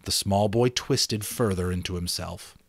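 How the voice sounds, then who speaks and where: A man's voice: sits low, a little slow, dry recording. A man narrating a novel expressively as an amateur reader, with dramatic storytelling and occasional casual asides.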